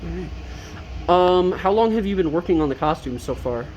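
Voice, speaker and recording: A man's voice: high-pitched, animated, moderate pace, darkish tone, highs cut off. A young man speaks as a student interviewer. He asks his questions in a casual way. There is faint noise behind his voice. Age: young adult.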